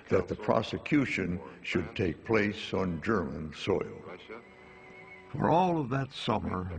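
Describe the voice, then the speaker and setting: A man's voice: on the low side, vocal fry. An older man giving scripted documentary narration as a veteran correspondent. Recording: faint noise, hall reverb.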